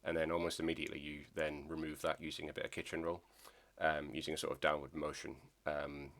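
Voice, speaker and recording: A man with an extremely low voice talks casually about his hobby, pausing often with ums and restarting his sentences. He sounds nasal from a lingering cold. His delivery stays flat and even. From Scotland. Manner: hesitant, many ums and restarts. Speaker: model railway hobbyist. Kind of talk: casual hobby video narration.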